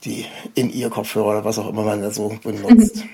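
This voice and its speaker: Mellow, rambling enthusiastic podcast host. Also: mid-range voice, fairly even intonation, fast, breathy edge. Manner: hesitant, rambling, enthusiastic fan talk. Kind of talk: casual interview talk.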